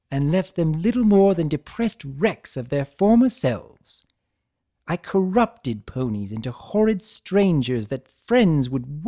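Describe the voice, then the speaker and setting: A man's voice: a little high, warm tone. A man voicing a mischievous, flippant chimera trickster in a theatrical, teasing way for a fan fiction reading.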